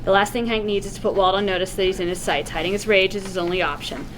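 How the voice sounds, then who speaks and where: A woman's voice: medium pitch, steady tone, fast talker, slight background noise, low-quality recording. A woman reading scripted stage directions and narration aloud at a screenplay table read.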